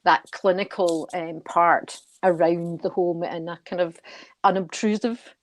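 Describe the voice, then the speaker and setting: Low, even-voiced woman. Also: measured pace. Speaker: guest, senior nurse and charity committee member. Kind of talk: conversational interview answers.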